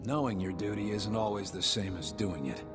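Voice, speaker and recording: An actor playing a wry, plain-spoken resistance fighter in a video game, with a dry, informal manner. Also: male voice, on the low side, moderate pace, lightly acted. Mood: wry, guarded. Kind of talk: scripted video game acting. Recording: game cutscene with music underneath.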